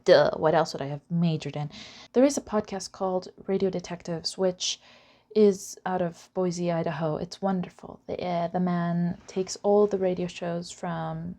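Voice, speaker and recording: A woman's voice: fairly low pitch, fairly even intonation, normal speed, dark tone. A woman presenting an informal explainer lecture, chatty and given to wry asides. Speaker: presenter of an educational video series.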